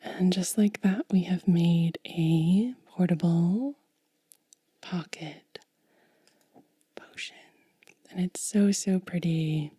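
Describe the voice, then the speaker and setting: A woman speaking in a soft, breathy whisper as an ASMR host, gentle and unhurried, close to the microphone. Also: lowish voice, steady tone, no room sound. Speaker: ASMR video creator and host.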